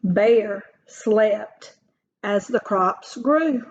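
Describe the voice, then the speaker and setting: A woman reading a children's story aloud like a teacher, with deliberate, expressive phrasing. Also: mid-range voice. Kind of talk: slow storybook read-aloud. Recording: dull lo-fi recording.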